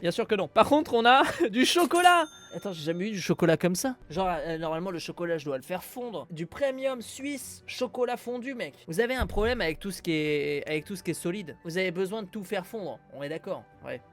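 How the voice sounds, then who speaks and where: High-voiced excitable male streamer. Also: brisk, hardly any pauses, faint music behind. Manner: excitable, loud exclamations, casual swearing. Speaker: streamer opening a viewer's package. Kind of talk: casual unboxing chat.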